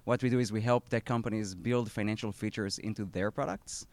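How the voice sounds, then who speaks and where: A man in his thirties with an Israeli accent, a startup founder giving a live talk at an outdoor event, halting with many fillers and restarts. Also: on the low side, steady tone, darkish tone, dry recording.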